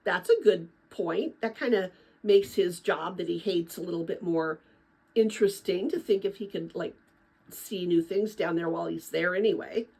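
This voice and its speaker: A woman reading a children's book aloud in an expressive, emphatic storytelling manner, with casual asides to listeners. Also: lowish voice, moderate pace, vocal fry, breathy edge, low-quality recording. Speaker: read-aloud host, narrator.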